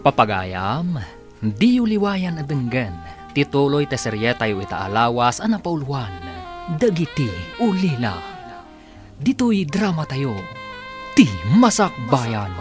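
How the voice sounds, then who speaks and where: Radio drama narrator, male, animated announcer delivery, guitar music bed underneath. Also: medium pitch, unhurried. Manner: announcer-like, lilting.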